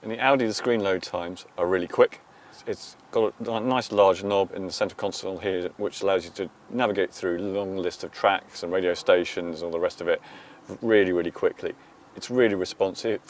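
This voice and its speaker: Deep-voiced tech reviewer. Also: male voice, steady tone, brisk, a little hiss or hum. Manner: enthusiastic, informal, with restarts. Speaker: technology journalist. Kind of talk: product review to camera.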